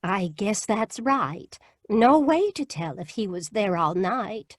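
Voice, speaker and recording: An actress playing a kindly, hospitable farm wife with a husky voice in an old-time radio drama. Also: female voice, mid-range voice, very animated, slow pace, no echo, clean studio sound. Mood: kindly, concerned.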